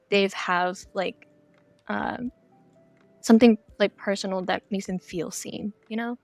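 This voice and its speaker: A woman's voice: mid-range voice, vocal fry, no echo. A woman speaking as a guest game developer in a casual podcast interview, explaining things conversationally.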